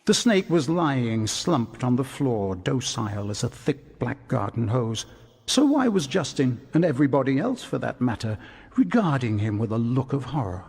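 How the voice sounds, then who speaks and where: A man reading scripted storybook narration in a dramatic, husky voice. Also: mid-range voice, big pitch swings, moderate pace, darkish tone. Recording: long hall reverb.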